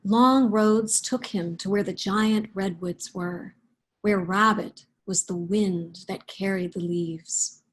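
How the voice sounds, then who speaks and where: Slow-spoken woman with a soft, husky voice. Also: medium pitch, rough recording. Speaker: picture book author and guest. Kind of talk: read-aloud storytelling and reflective interview talk.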